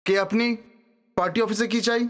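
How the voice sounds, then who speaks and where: An actor playing a suspicious party-office gatekeeper in an audio drama, challenging and dismissive. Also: male voice, high-pitched, steady tone, moderate pace, a bit bright, soft background music.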